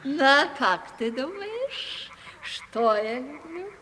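Aging provincial lady: sentimental, commanding, languid, stagey, unhurried delivery in a long reverb with background noise. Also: sits high, very animated, pushed and bright, full character voice. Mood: languid, flirtatious. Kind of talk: theatrical Russian radio stage comedy acting. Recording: 1970s radio play, reverberant with background noise.

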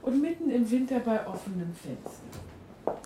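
An actress playing a suspicious, sharp-tongued middle-aged mother who interrogates sternly and sardonically in a radio play. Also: fairly high pitch, sing-song, measured pace, very noisy.

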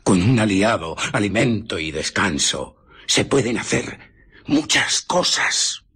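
A bitter, megalomaniac scientist in a Castilian radio play. The low voice is very husky and breathy, moving slowly and haltingly. It swings widely between emphatic menace and whispered scheming. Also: male voice, big pitch swings, sharp tone, heavily acted. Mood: brooding, intense. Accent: Castilian Spanish. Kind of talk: Spanish radio drama acting.

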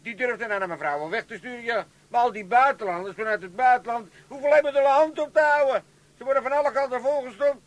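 Loud nosy old amsterdam dad, really high voice, blustery, trips over words, 80s radio comedy. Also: male voice, fast. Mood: blustering. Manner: blustering, stammering when worked up. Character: loud, meddling old Amsterdam father, shady and opinionated. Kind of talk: 1980s Dutch comedy radio play acting.

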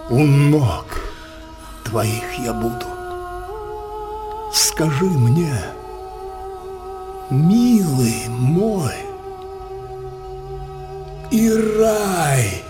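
An actor playing a power-hungry, wrathful old king in a theatrical radio play, declaiming in an imperious, passionate way. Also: mid-range voice. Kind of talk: theatrical verse radio drama acting. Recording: music behind the voice.

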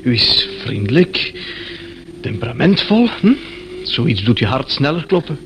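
A man's voice: mid-range voice, very animated, unhurried, a bit bright. An actor playing an eccentric, easygoing young man in a radio play, musing and amused, with some bashful hesitation. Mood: smitten, playful. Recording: noisy old radio drama recording.

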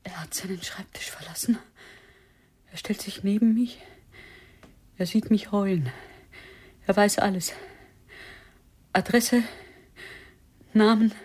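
A woman acting an anxious, distraught wife in a German radio play, urgent and at times hushed. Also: medium pitch, slow, long pauses, slight background noise, natural voice. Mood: haunted, hushed. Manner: emotional, urgent, drifting into hushed whispers. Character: anxious, distraught wife hiding from her husband.

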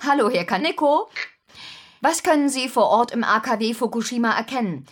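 An actress playing a composed TV news anchor who puts formal, measured questions in a radio drama. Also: female voice, a little high, natural voice. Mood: composed, probing.

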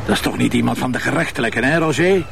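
A Flemish police commissioner, grumbling and sarcastic, with an average-pitched voice. He is blunt and stubborn, in natural TV crime drama style, over some background noise. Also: expressive, fast, vocal fry. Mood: indignant.

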